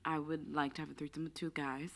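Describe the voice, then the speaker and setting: A woman's voice: deep voice, level tone, vocal fry, fairly bright tone. A young woman co-hosting a podcast, chatting candidly and giggling often. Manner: candid, giggly, drawn-out phrasing. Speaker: podcast co-host. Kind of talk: casual chat.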